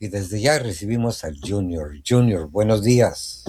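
A man hosting a morning radio show in a studio, chatty, spelling out phone numbers slowly. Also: on the low side, lively intonation, mellow, husky. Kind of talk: morning radio talk and sponsor reads.

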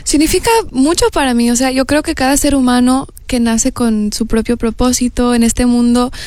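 A young woman from the United States, a singer guest on a radio show, speaking softly and reflectively in Spanish with a hard-to-place Latin American accent and frequent restarts. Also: a little high, level tone, normal speed, no room sound. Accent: mixed Latin American Spanish. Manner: soft-spoken, reflective, hesitant restarts. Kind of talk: radio interview.

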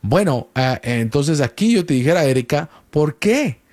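Male host lawyer with a fairly high voice. Patient and explanatory, with frequent restarts. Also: sing-song, unhurried, darkish tone. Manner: explanatory, asks many questions, often restarts words. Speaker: immigration lawyer hosting a call-in show. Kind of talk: radio call-in legal advice.

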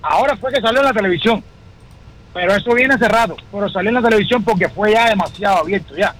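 Male phone-in caller, high-pitched, delivers political opinion bluntly and repeats for emphasis. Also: quick pace, fairly bright tone, rough recording.

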